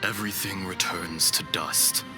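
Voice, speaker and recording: A weary kindly ancient dragon in human form, real deep n slow, solemn breathy pauses, game cutscene. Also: male voice, fairly even intonation, loud music behind the voice. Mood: solemn, resigned. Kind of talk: dramatic video game voice acting.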